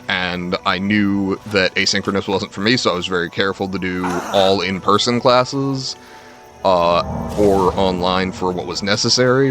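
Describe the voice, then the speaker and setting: A man's voice: deep voice, fairly bright tone. A young man chatting casually to stream viewers, telling rambling, self-deprecating personal stories with casual swearing. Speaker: game livestreamer. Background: faint game music.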